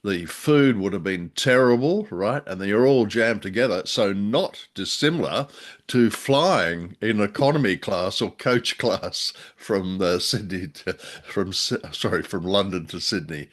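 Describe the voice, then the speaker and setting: Low-pitched man in rambling casual chat. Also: animated, a little slow. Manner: rambling, jokey, often repeats words for emphasis. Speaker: podcast co-host. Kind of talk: casual unscripted discussion.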